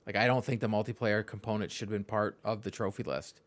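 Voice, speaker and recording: A man's voice: fairly low pitch, fairly even intonation, fast talker, no echo. A man co-hosting a podcast, giving his opinions in casual, conversational chat. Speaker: podcast co-host.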